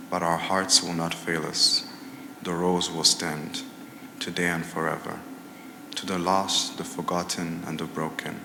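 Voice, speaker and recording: Man, very deep, flat solemn poetry reading, booming hall echo, audible room noise. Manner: slow and solemn, trailing off softly at line ends. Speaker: speaker reading a poem. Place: large commemoration hall. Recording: echoey hall with background noise.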